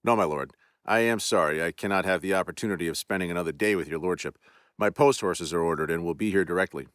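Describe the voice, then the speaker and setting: A man's voice: deep voice, fast, a bit bright, clear, resonant tone, no room sound, clean studio sound. A man voicing a veteran army general who answers with formal, courteous reserve.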